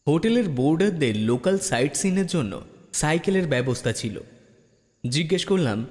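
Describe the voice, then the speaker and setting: A man narrating a ghost story in the first person, in a dramatic storytelling style. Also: fairly high pitch. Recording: long reverb with music bed. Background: background music.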